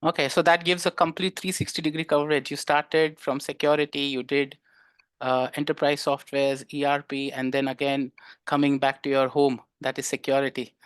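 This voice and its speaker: A man's voice: medium pitch, little pitch movement, moderate pace, clear, resonant tone, dry recording. A man hosting a podcast interview, who asks questions hesitantly with many uh fillers. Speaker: podcast host.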